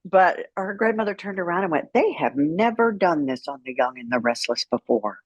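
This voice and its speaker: A woman's voice: fairly low pitch, lively intonation, normal speed, breathy edge, dry recording, highs cut off. A middle-aged woman speaking as an interview guest, telling stories in a frank, wry, conversational way. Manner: frank, wry, acts out remembered dialogue. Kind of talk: candid conversational storytelling.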